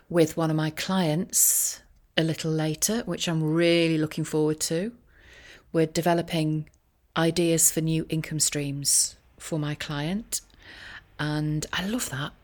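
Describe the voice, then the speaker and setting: A woman talking casually in a rambling, self-deprecating monologue, with wry, gently ironic asides. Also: low voice, fairly even intonation, slow. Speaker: voiceover artist and career coach sharing a personal update.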